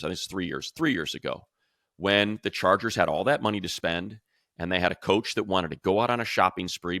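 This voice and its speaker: A man's voice: low-pitched, gravelly, a bit bright, no room sound, studio quality. A man hosting an unscripted sports talk show, speaking emphatically and repeating phrases to drive points home.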